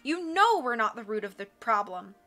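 A woman's voice: fairly high pitch, very animated, normal speed, pushed and bright, full character voice. A woman voicing an earnest, bookish young boy in a storybook, pleading and insistent.

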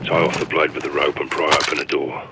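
A man voicing a grim, battle-hardened British special forces lieutenant in a video game, terse and deadpan over radio. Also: extremely low pitch, fast talker. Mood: terse, instructive. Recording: noisy radio with rain and ambience.

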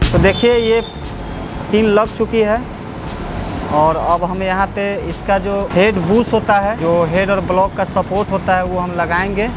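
A man's voice: high-pitched, fairly even intonation, unhurried, over loud music. A man working as a motorcycle mechanic, who talks the viewer through an engine repair step by step in a workshop. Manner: explanatory, guides the viewer step by step. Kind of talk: workshop repair tutorial, narrating over close-up work.

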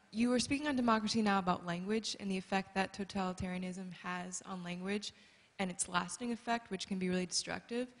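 A woman in the audience of a large concert hall asking the speaker a question, earnest and slightly tentative. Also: mid-range voice. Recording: long reverb of a large hall.

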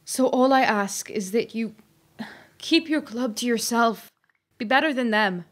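A woman playing a gentle, principled elven market trader in an audio drama, with an earnest, hesitant manner. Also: on the high side, unhurried, natural voice. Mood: apologetic, pleading.

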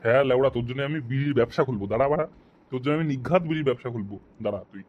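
A man's voice: medium pitch, very fast, lo-fi sound, close to an everyday voice. A man voicing an exasperated, sarcastic, foul-mouthed friend in a comic cartoon.

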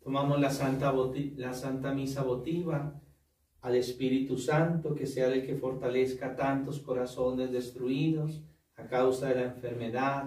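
A priest leads Mass in a small chapel, his mellow, dark voice reciting prayers in a measured way. He sounds distant from the mic, with the room's echo around him. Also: medium pitch. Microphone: far camera. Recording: echoey room, distant mic.

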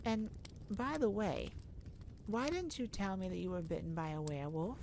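A woman's voice: mid-range voice, moderate pace, gravelly, a little mellow, a little hiss or hum, lightly acted. A woman playing a nervous, caring mage who speaks hesitantly and tenderly in an ASMR audio roleplay. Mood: concerned, gently teasing. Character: nervous, caring young mage rescuing a friend.

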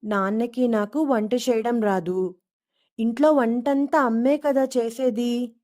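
A woman's voice: on the high side, fairly even intonation, slow, darkish tone. A woman storyteller voicing a sad, downcast schoolgirl who explains herself apologetically in a children's story. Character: sad, apologetic schoolgirl worried about her sick mother.

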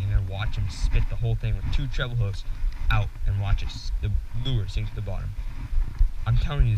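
A young man fly fishing on a wooded riverbank, talking excitedly to camera in casual play-by-play. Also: lowish voice, lo-fi sound. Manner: excitable, rambling, talks while fishing. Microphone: head-mounted action camera. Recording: outdoor camera mic, distant voice, noisy.

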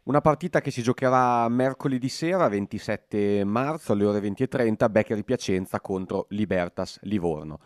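A man's voice: lowish voice, normal speed, hardly any pauses, no room sound, clean studio sound. A man hosting a sports interview on the radio, introducing and questioning his guest.

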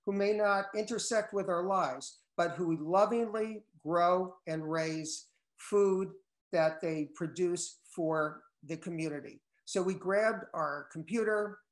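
An older man, a cookbook co-author, reading a prepared talk in measured tones over a video call from home. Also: high voice. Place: wood-paneled room at home. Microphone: laptop video call. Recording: echoey room on a video call.

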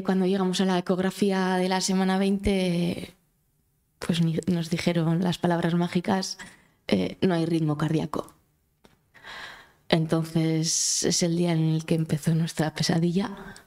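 A woman in her thirties whose first language is Basque, speaking with a Basque accent into a handheld mic in a conference room, telling a personal story in halting speech with many pauses. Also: medium pitch, dark tone. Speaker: audience member sharing her story. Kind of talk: emotional personal testimony. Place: conference room of a cultural centre. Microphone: handheld microphone.

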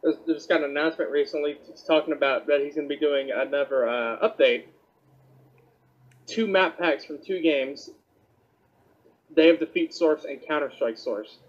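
A man's voice: on the high side, moderate pace, long pauses, dull audio. A man chatting casually as a co-host, relaying game news and reading bits aloud while he plays. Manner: plain, reads announcements aloud while gaming. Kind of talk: casual chat over a call.

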